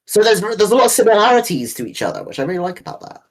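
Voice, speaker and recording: A man's voice: sits high, sing-song, very fast. A man co-hosting a casual fan discussion, talking in an enthusiastic, rambling way with some casual swearing. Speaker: co-host.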